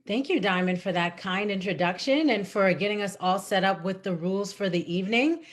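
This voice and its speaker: A woman's voice: medium pitch, brisk. Female moderator, chatty and friendly, frequent ums and restarts, on a video call. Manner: friendly, filler-heavy, repeats herself. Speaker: forum moderator. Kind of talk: moderating a candidate forum.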